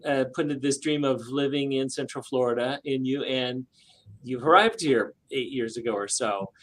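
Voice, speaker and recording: A woman hosting a talk show, asking questions in an encouraging, halting, conversational manner. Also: very deep voice. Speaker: talk show host interviewer. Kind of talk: unhurried conversational interviewing.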